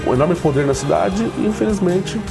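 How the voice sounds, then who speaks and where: A man with a Brazilian accent giving an unscripted documentary interview, haltingly searching for his words. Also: high-pitched, animated, gravelly. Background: music.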